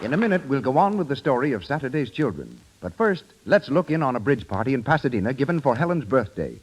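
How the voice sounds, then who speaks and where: A man's voice: mid-range voice, very animated, quick pace, a crowd in the background, background noise. A man announcing on 1930s radio, reading scripted sponsor copy and scene introductions.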